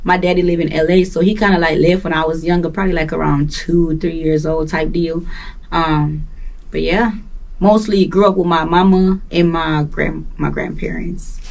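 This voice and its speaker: A woman's voice: deep voice, steady tone, moderate pace, gravelly, far from the mic, poor audio. Slangy young Jamaican woman, off-mic. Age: young adult. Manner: laid-back, slangy, rambling with many fillers. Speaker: guest musician. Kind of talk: casual interview talk.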